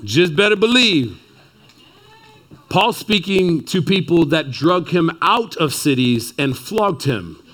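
Emphatic preaching man in his thirties. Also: slow pace. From Texas. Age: 30s. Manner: emphatic, rising to excited peaks, then dropping low and quiet. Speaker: pastor. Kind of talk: sermon. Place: church before a seated congregation.

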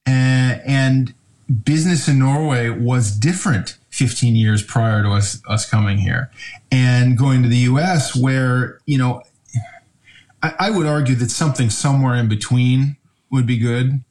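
Rambling American man, mid pitch. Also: measured pace, a little mellow. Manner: rambling, with many restarts and fillers. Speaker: podcast guest, brewery owner. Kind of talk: casual interview conversation.

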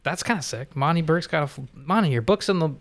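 A man's voice: fairly high pitch, lively intonation, fast talker, fairly bright tone, no echo. A man co-hosting a podcast in casual, slangy, informal banter. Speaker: podcast co-host.

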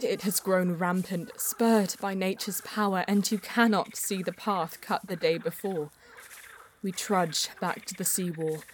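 A woman playing a solemn Eldar bonesinger who narrates her quest in a measured, poetic way in an audio drama. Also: lowish voice, darkish tone, a little hiss or hum, natural voice, low-quality recording. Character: female Eldar bonesinger, solemn, mystical, easily frustrated seeker. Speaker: narrator and lead character. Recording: music under the voice. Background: background music.

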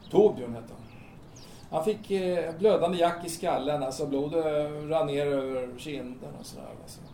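A man's voice: fairly high pitch, mellow, lightly acted. A man acting in an audio drama as a blunt, casual thirtyish man, hesitant and matter-of-fact. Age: 30s. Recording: roomy, distant, noisy amateur-sounding recording.

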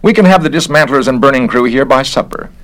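A man's voice: mid-range voice, expressive, quick pace, voice pushed up, lightly acted. A man voicing a prim, irritable government investigator with a clipped, disapproving manner. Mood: contemptuous.

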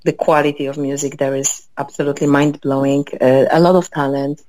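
A low-pitched woman with a Polish accent speaks as an interview guest, taking her time and filling gaps with small hesitations. The sound is close and dry, with no room around it. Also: flat delivery, fairly quick, darkish tone, no room sound. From Poland. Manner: hesitant, many fillers, thoughtful. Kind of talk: conversational interview.

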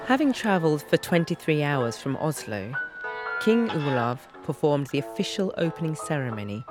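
Scripted documentary narrator, medium pitch, clear musical backing. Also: sing-song, moderate pace. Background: prominent music.

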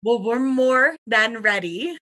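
A woman acting as an eager radio co-host in an audio comedy. Her voice is high and bright, and she talks theatrically, like an insistent, knowing gossip. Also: expressive, takes it slowly, full character voice.

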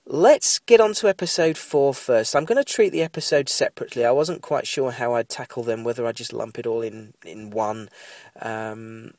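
A man's voice: medium pitch, moderate pace, a little mellow, dry recording, studio quality. Nasal, congested man hosting a casual podcast. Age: 30s. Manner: rambling, digressive, apologetic asides. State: has a cold. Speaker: podcast host. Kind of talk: casual TV recap podcast monologue.

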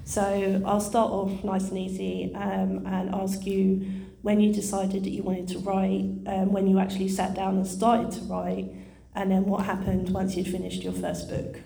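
Gravelly-voiced woman moderating a panel. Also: medium pitch, completely flat delivery, measured pace, warm tone, slight background noise. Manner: friendly, chatty, with filler ums. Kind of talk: moderating a book festival panel. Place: hotel function room at a book festival. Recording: echoey hotel function room.

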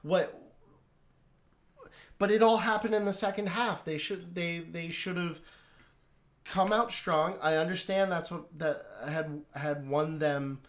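A man co-hosting a casual sports talk show, speaking loudly and emphatically. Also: on the high side, slow pace. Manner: loud, emphatic. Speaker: podcast co-host.

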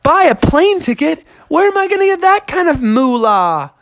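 A man voicing an excitable, easygoing young male bear who talks in surfer slang and exclaims a lot. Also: high voice, normal speed, dry recording, clean studio sound, pitched above the performer's own voice, heavily acted. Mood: excited.

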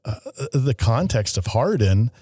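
A man's voice: medium pitch, sing-song, a little slow, warm tone, breathy edge, no room sound. A man co-hosting a podcast in a studio, enthusiastic and stumbling over his words as he sets up the question. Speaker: podcast co-host. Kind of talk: casual podcast chat.

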